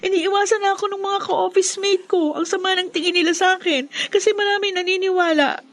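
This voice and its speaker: An actress playing a shamed, distressed young daughter in a Filipino radio drama, speaking plaintively. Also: female voice, very high voice, fairly even intonation, brisk, fairly bright tone. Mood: tearful, anxious. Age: young adult.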